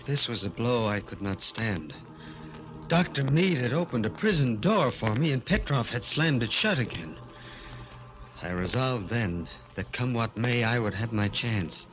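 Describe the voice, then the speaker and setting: A man's voice: mid-range voice, sing-song, measured pace, fairly bright tone, soft background music. A man playing a haunted figure who narrates his own story intensely, in 1940s radio thriller style. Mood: resolute. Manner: dramatic, intense first-person narration. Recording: noisy old 1940s radio recording.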